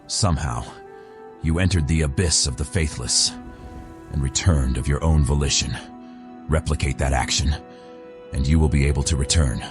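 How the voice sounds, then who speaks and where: A man performs an aloof, cryptic fantasy game counsellor with an extremely deep voice. He talks formally and at a measured pace. Music plays behind him. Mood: pensive, measured. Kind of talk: scripted fantasy game dialogue.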